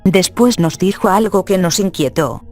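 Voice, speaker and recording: A narrator calmly reading a scripted horror story in an unhurried way. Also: medium pitch. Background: faint music.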